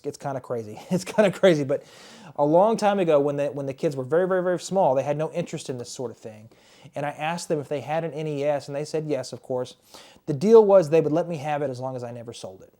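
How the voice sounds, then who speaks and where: A man in his thirties chatting casually and enthusiastically in a collector's vlog, his voice worn and starting to go hoarse. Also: mid-range voice, warm tone. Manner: chatty, enthusiastic, repeats words. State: losing his voice. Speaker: retro game collector and YouTuber. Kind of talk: casual show-and-tell vlog.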